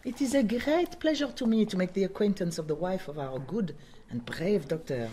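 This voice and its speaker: An actress playing a gracious, gently reproving French Mother Superior in a radio drama, speaking formally and with measured courtesy. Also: fairly low pitch, animated, slight background noise, lightly acted.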